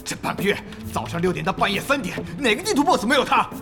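A hot-tempered guild president from a gaming audio drama. His voice sits fairly high and moves with great animation, bossy and excitable. Faint music plays underneath. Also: fast talker. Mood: exasperated, furious. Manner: bossy, excitable, swings from calm to outbursts. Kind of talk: Chinese audio drama acting.

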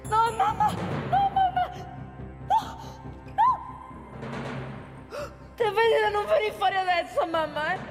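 A woman's voice: extremely high pitch, expressive, takes it slowly, long pauses, big-room sound, loud music behind the voice, heavily acted. An actress playing a plain-spoken, desperate working-class woman with a Roman accent in a theatrical monologue. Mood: panicked, crying out. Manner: plain-spoken, ungrammatical, earthy. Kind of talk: theatrical monologue in colloquial dialect.

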